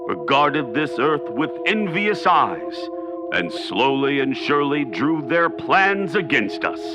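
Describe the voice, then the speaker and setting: A man narrating a radio drama as host, in a grand, deliberate, theatrical delivery. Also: mid-range voice. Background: music behind the voice.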